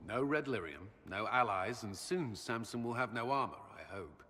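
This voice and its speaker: An actor playing a grave, duty-bound military commander in a video game, earnest, measured and formal. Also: male voice, medium pitch, animated, pushed and bright. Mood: somber. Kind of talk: dramatic video game cutscene acting. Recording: hall reverb with background noise.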